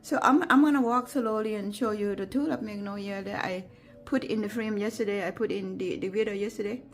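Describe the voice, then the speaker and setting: A woman with a Thai accent, a native Thai speaker, giving an unscripted walking tour of her garden in a gentle, halting way, with many fillers and restarts. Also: mid-range voice. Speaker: gardening vlogger.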